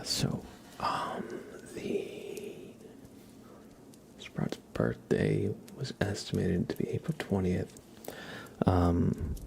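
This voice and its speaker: A man hosting an ASMR livestream, chatting casually and gently with viewers in a soft, breathy, mostly whispered voice. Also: sits low. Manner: soft-spoken, gentle, unhurried.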